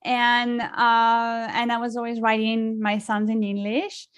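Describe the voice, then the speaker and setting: A woman's voice: fairly high pitch, monotone, slow, no room sound, dull audio. A middle-aged woman with a French accent, chatting as a songwriter guest in an interview, with many fillers and restarts. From France. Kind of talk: casual interview chat.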